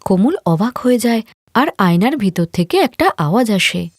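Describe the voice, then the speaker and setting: A woman's voice: mid-range voice, big pitch swings, normal speed, no room sound, clean studio sound. A woman narrating a scripted fairy tale as a storyteller.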